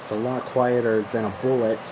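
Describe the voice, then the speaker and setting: A man improvising a casual roleplay monologue as a calm zombie apocalypse survivor, talking to the listener in short, hesitant fragments. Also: medium pitch, fairly quick, muffled recording, background noise. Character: calm male zombie apocalypse survivor giving weapon tips. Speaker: roleplay video creator playing a zombie survivor.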